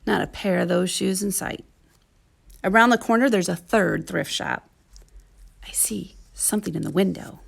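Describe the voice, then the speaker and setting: A woman narrating a children's story as a teaching artist, with expressive, deliberate phrasing. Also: mid-range voice, creaky, slightly rough.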